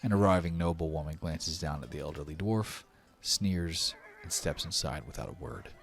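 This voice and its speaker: A man's voice: extremely low pitch, normal speed. A man narrating a tabletop fantasy game as the game master. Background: background music.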